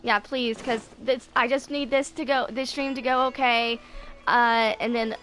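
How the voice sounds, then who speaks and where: A woman's voice: fairly high pitch, steady tone, unhurried, fairly bright tone, faint music behind, rough recording. A woman in her twenties chatting casually while streaming a video game, rambling and hesitant as she goes. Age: 20s. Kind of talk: casual live gameplay chat.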